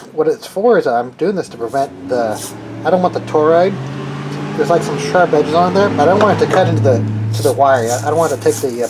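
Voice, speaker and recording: A man giving a casual, unscripted how-to as a hobbyist builder, halting and thinking aloud while he works with his hands. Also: mid-range voice, noisy background.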